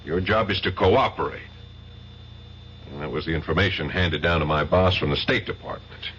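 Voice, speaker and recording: An actor playing a firm, direct American narcotics agent in a 1970s radio drama, speaking plainly. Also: male voice, low voice, moderate pace, a bit bright, a little hiss or hum. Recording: dull lo-fi old radio broadcast.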